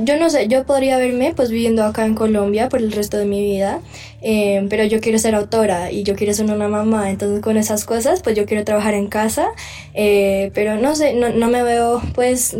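A woman's voice: medium pitch, fairly even intonation, moderate pace, mellow. Teen girl stumbling thru answers, mic far away, faint music. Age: teens. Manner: hesitant, many fillers and restarts. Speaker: student being interviewed. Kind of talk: casual interview answers.

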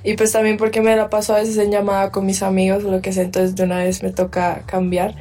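A teenage girl from the Dominican Republic answering interview questions in an even, thoughtful way. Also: mid-range voice, a little fast. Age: teens. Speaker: student being interviewed. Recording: distant mic with faint music.

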